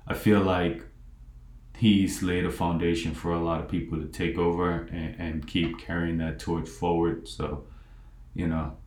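A man in his forties hosting an interview podcast, talking reflectively with frequent pauses and fillers. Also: sits low, a little mellow. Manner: reflective, halting, many fillers. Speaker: podcast host. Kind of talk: casual interview talk. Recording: echoey room.

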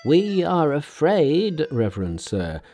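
These are two courteous village brothers in an audiobook, as a man puts them on, pitched high above the storyteller's normal voice with a slow, careful delivery. Faint music plays under them. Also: wildly swooping pitch, mellow, heavily acted. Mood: respectful. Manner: respectful, deferential.

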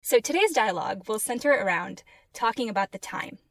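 Female podcast host, enthusiastic and clear, dry close-miked sound. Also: mid-range voice, animated, brisk, no room sound. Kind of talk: language lesson podcast.

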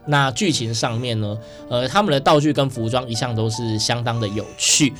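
A man's voice: medium pitch, normal speed, a bit bright, faint music behind. A man with a Taiwanese accent hosting a casual interview, chatty and often checking for agreement. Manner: chatty, often seeks agreement with tag questions. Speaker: radio show host.

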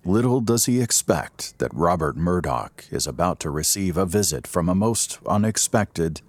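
Deep, husky male story narrator. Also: expressive, normal speed. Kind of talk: scripted dramatic narration.

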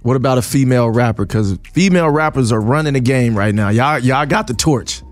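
A man co-hosting a talk show, bantering quickly and playfully with a guest. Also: mid-range voice, no echo, faint music behind. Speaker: co-host. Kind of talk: casual chat.

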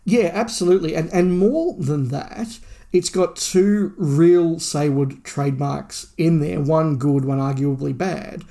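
A man's voice: on the high side, a little slow, vocal fry, mellow. A man co-hosting a podcast, discussing a book casually in an analytical, opinionated way with emphatic repetition. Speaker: podcast co-host.

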